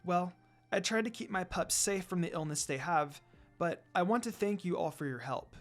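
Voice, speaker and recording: A reader voicing a gentle demon noblewoman and mother in a fan fiction story, speaking in a measured, courteous way. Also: medium pitch, expressive, faint music behind, lightly acted.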